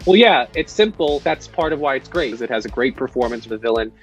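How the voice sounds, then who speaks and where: A man's voice: medium pitch, lively intonation, fast talker, slightly rough, faint music behind. A man chatting casually about films, thoughtful and rambling, with many restarts. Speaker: guest.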